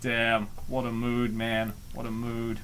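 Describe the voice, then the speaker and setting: A man hosting a comic dub, giving joking, theatrical and excitable commentary and reading comments aloud. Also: medium pitch, takes it slowly, very noisy.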